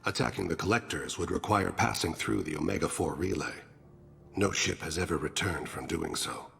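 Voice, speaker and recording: An actor playing a courteous, philosophical alien assassin who is dying, with a gravelly voice and a formal, measured, solemn manner in a video game. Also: male voice, low voice, a bit bright, slight background noise, heavily acted. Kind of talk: video game cutscene acting.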